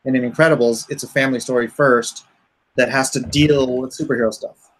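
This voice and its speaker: A man hosting a livestream, chatting casually with informal, unhurried asides. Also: mid-range voice, steady tone, fairly bright tone, rough recording. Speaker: comic artist hosting a livestream.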